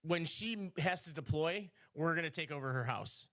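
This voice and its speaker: A man's voice: on the high side, lively intonation, normal speed, fairly bright tone. Teasing, rambling podcast co-host man. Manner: teasing, rambling with many restarts. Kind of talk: casual podcast chat.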